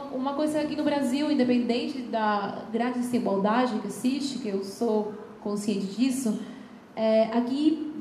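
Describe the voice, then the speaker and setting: A young woman speaks as a seminar guest, her showing as she talks in an earnest, searching way full of restarts. Her voice sits a little high and mellow. The sound comes from an echoey lecture room with a faint hiss behind it. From Chile. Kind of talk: lecture talk.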